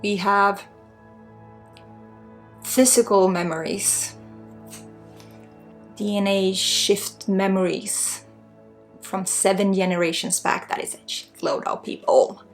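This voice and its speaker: A woman with a Swedish accent giving unscripted spiritual guidance in an ASMR healing session, earnest and encouraging, with halting phrasing. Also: medium pitch, creaky, soft background music. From Sweden. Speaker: spiritual guide and energy healer.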